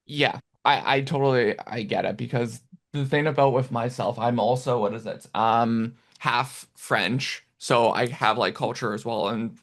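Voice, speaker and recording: A man's voice: medium pitch, unhurried, hardly any pauses, a bit bright. A man hosting a casual podcast interview over a remote call, often stammering and restarting his sentences. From Canada. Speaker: podcast host.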